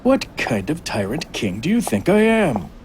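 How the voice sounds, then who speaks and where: An actor playing a genial, protective king in an audio drama, courteous and gently teasing. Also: a little high, huge pitch swings, normal speed. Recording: noticeable background noise.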